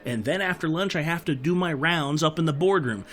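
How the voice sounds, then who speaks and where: A man's voice: a little high, a little fast, a bit bright, natural voice. A man playing a harried, chatty bird who works as a postal clerk, rambling and exasperated in an audio drama. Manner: exasperated, rambling, customer-service patter.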